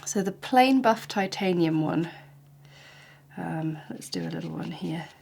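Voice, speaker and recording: Woman, art teacher, slightly snuffly from hay fever, gentle chatty demo delivery. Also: on the low side, animated, unhurried, vocal fry, slightly rough. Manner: gentle, chatty, encouraging demonstration. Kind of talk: painting tutorial.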